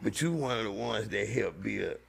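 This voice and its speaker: Animated, very gravelly man host. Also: on the low side, normal speed. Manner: animated, storytelling, hyped when excited. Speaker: podcast host. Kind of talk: casual podcast chat.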